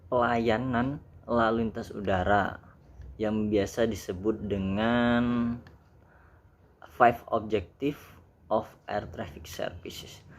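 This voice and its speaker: A man's voice: fairly low pitch, a little hiss or hum. A man with an Indonesian accent giving an informal explainer lesson, reading out definitions and mixing in English technical terms as he addresses viewers as friends. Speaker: air traffic controller, YouTube presenter.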